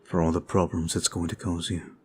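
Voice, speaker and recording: A man voicing a brooding male vampire who is weary and guilt-ridden, talking slowly and softly in an ASMR roleplay. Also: sits low, level tone, a little hiss or hum, natural voice. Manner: slow, soft, confiding. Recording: faint music behind.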